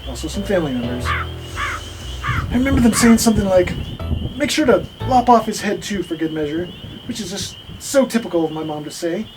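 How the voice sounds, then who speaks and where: Man voicing a high-pitched melodramatic male ghost. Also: huge pitch swings, moderate pace, poor audio. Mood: grandiose, self-pitying. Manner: grandiose, melodramatic storytelling. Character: theatrical, self-dramatizing male ghost, burnt at the stake. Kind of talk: comedic puppet show acting. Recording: music under the voice.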